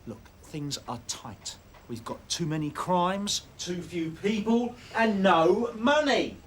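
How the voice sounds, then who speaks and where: A man playing a world-weary, hard-nosed detective inspector, gruff and sardonic, in a TV police drama. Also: sits high, animated, slow, full character voice. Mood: exasperated. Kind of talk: naturalistic TV police drama acting. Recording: slightly distant, noisy TV drama sound.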